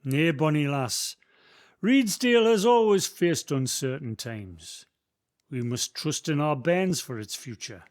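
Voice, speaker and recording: An actor playing an old male ghost of the valley, speaking slowly and gently like someone reciting a poem. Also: on the high side, lively intonation. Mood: solemn. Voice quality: slow, measured, poetic recitation. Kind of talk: community radio play acting.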